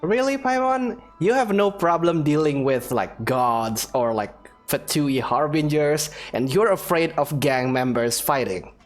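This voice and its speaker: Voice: medium pitch, a little slow, few pauses, soft background music. A gaming video host giving casual, playful let's-play commentary in a chatty way.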